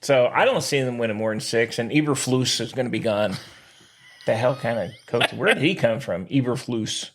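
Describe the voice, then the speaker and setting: A man co-hosting a sports podcast, bantering casually in an excitable, opinionated and slangy way. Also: mid-range voice, moderate pace, a little hiss or hum.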